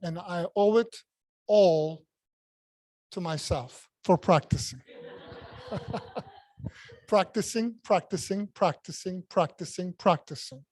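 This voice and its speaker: A man's voice: sits high, slow, pauses often, darkish tone, dry recording. A man who speaks English as a second language, giving a jokey, self-mocking speech at a club meeting and repeating words for emphasis. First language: not English.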